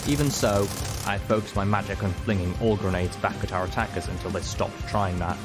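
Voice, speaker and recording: A man's voice: fairly low pitch, fairly quick. A man reading a story aloud as a dramatic first-person audiobook narrator. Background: music.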